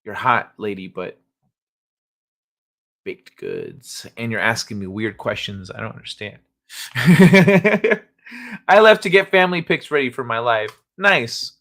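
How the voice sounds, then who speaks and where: A man's voice: mid-range voice, sing-song, a little slow, creaky, long pauses, fairly bright tone. A man hosting a live stream, chatting casually and rambling with jokes and swearing. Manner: rambling, joking, profane, laughs often. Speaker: livestream host. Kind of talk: casual live-chat talk.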